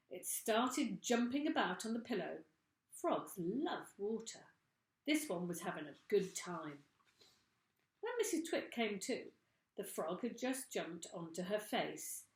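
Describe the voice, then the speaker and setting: A female teacher reading a children's storybook aloud, slowly and expressively, in an echoey room. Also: mid-range voice, darkish tone.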